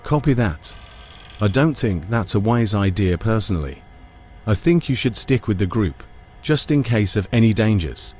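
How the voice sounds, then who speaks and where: A man's voice: on the low side, expressive, moderate pace, a little mellow, slight background noise. A man narrating a first-person dramatised audiobook, also voicing its lead character.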